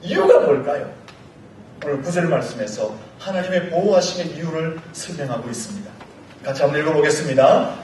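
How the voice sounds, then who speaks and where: A male pastor preaching a Sunday sermon while he has a cold, fervent and rising into shouts at times. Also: fairly high pitch, animated, a little fast, slight background noise. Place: church sanctuary. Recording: very echoey church hall, mic far off, faint music.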